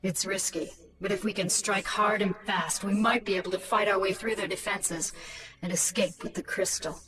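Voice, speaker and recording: A woman's voice: mid-range voice, steady tone, moderate pace, fairly bright tone, recorded from a distance, lightly acted. A woman playing a cool, sardonic commander in a video game, with a dry, condescending manner. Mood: cool, impatient. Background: music.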